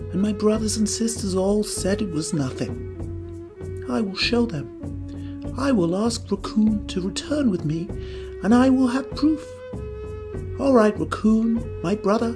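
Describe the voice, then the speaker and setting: Little storybook mouse, female performer: mid-pitched, highly animated, timid, curious, earnest, over music. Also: close to an everyday voice. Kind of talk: storybook character acting.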